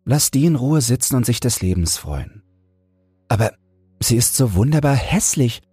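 A man playing a cheerful young male student who banters in a lively, educated way in a storybook. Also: medium pitch, moderate pace, slightly rough, dry recording, voice pushed up. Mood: amused.

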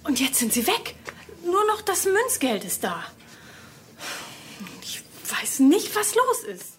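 Worried but loving mum for a kids' radio play: high, youthful, reproachful, faint background noise. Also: female voice, huge pitch swings, slow, exaggerated voice. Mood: apologetic, upset. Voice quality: youthful, teenage-sounding voice. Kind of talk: children's audio drama acting.

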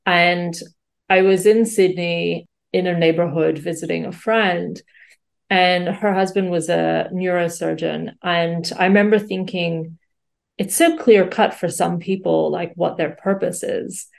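Lowish gravelly woman in her 40s, from singapore, chatting as a podcast guest over a call, lots of um and you know. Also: fairly even intonation, measured pace. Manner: reflective, with frequent fillers and restarts. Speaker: guest, life and career coach. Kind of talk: conversational storytelling.

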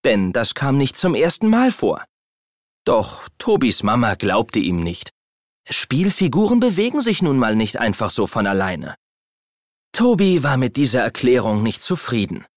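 A man narrating a children's story from a script, as an expressive storyteller. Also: mid-range voice, no echo, studio quality.

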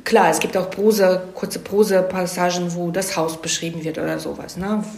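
A woman's voice: lowish voice, little pitch movement, moderate pace, creaky, darkish tone. Woman explaining informally in an echoey room. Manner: explanatory, often ending phrases with a checking "ne?". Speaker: screenwriter being interviewed. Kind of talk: informal explanatory interview talk. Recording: roomy, echoing room sound.

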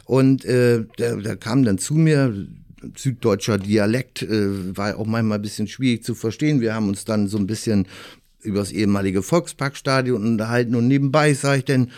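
A man chatting casually as a sports journalist, telling anecdotes in a halting, chuckling manner. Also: lowish voice, a little mellow. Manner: halting, chuckling while reminiscing.

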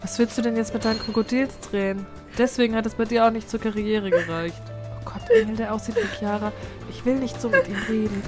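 Bewildered young actress, played by a woman, high voice, audio drama with music underneath. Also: animated, brisk. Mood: irritated, startled. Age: 20s. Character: bewildered young actress regretting her wish for fame. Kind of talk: amateur audio drama dialogue.

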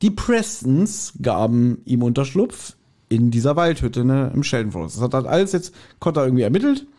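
A man's voice: medium pitch, sing-song, normal speed, dark tone. A man co-hosting a podcast, recapping an audio drama in a casual, colloquial way. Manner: colloquial, acts out quotes animatedly. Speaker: podcast co-host.

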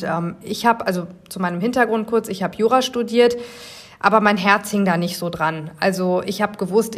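A woman in her forties from Germany, hosting a podcast and telling her career story in a clear, measured way. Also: mid-range voice. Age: 40s. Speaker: podcast host. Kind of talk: scripted podcast narration.

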